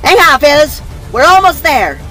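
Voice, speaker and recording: Man voicing a brash, high-pitched adventurer woman. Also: very animated, moderate pace, bright, forceful tone, faint music behind, heavily acted. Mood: urgent. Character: brash, bossy female adventurer.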